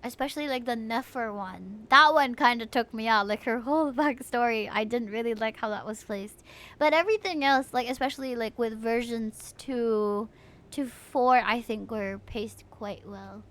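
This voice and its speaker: A woman's voice: on the high side, moderate pace, a bit bright. A woman livestreaming a video game, thinking aloud and giving her opinions in casual chat.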